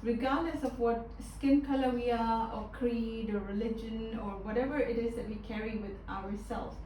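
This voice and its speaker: Woman from Tanzania, loud and expressive, group facilitator, echoey room, heard from afar. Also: on the high side, moderate pace, a little hiss or hum. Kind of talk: group discussion. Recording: echoey room, distant mic.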